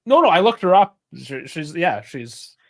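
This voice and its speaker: A man's voice: on the high side, very animated, normal speed, gravelly, fairly bright tone, no echo, studio quality. A man co-hosting a sports podcast, teasing the others with sarcastic remarks. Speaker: podcast co-host. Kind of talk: casual sports podcast banter.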